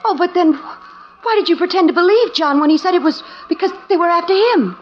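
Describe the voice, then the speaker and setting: High-pitched, frightened wife. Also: female voice, fairly quick, slight background noise. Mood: horrified. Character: frightened, anxious, pleading wife. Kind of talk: old-time radio melodrama acting. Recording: muffled old radio recording.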